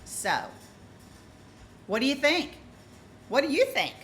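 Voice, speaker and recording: A woman giving informal true-crime commentary as a vlogger, emphatic and deliberate, repeating points for stress. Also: high-pitched, sing-song, a bit bright, a little hiss or hum.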